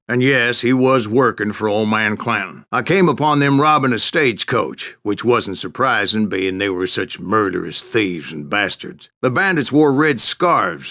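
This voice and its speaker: An actor playing a grizzled old Wild West gunslinger in a video game, telling boastful tales in a folksy, theatrical American Western drawl with a gravelly voice. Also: male voice, fairly low pitch, expressive, normal speed, few pauses, fairly bright tone. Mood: vengeful, dramatic. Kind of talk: dramatic first-person storytelling narration for a western game.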